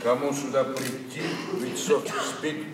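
An actor playing a flirtatious, blustering ageing master of the house in a classical stage comedy, drawling and teasing theatrically. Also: male voice, medium pitch, level tone, a little slow, crowd noise, close to an everyday voice. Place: theatre stage, live broadcast recording. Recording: echoey, distant, noisy theatre stage.

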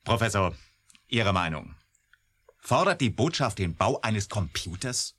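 A man's voice: on the low side, very animated, unhurried, fairly bright tone, husky, exaggerated voice. An actor in a science fiction radio play, playing a brusque, dismissive military man.

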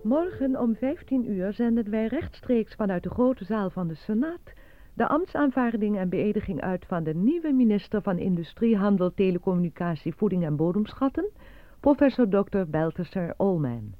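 An actress in a radio play voicing a formal news announcer, reading a script precisely. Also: female voice, medium pitch, lively intonation, normal speed, no room sound, lightly acted. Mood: formal, official. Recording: dry, muffled lo-fi old radio recording.

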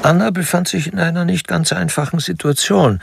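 A man narrating a crime radio drama in a measured, dry, literary manner, detached and wry. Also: a little high, vocal fry, crowd noise. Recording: music under studio narration. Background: music.

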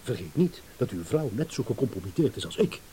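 Man playing scornful gentleman, swooping intonation, condescending, mocking, emphatic, radio drama, light background hiss. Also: mid-range voice, big pitch swings, fairly quick, close to an everyday voice. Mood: defiant, scornful. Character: haughty, sardonic gentleman refusing to be blackmailed. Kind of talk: Dutch-language radio play acting. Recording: hissy old radio play recording.

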